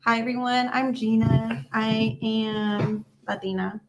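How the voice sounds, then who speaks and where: A woman in her late twenties introducing herself on a podcast panel, soft and tentative. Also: a little high, little pitch movement, slow, background noise. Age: late 20s. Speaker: panel guest.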